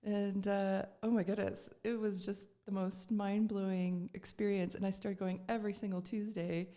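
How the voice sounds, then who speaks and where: A woman's voice: mid-range voice, flat delivery, moderate pace, mellow. A middle-aged woman from Canada, a podcast guest telling her story in casual chat, with lots of fillers and restarts.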